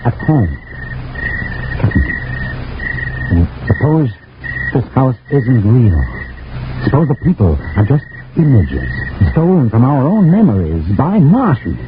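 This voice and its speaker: A haunted crewman in a vintage radio play, brooding and full of suspicion. His intense, halting speech rises and falls widely. The recording is thin and tinny with clear noise. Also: medium pitch, huge pitch swings. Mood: musing, suspicious. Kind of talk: old-time radio drama acting.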